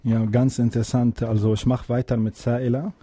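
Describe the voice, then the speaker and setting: A man interviewing young guests, asking questions gently and hesitantly with frequent fillers. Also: mid-range voice, flat delivery, normal speed, husky. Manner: hesitant, many fillers, encouraging.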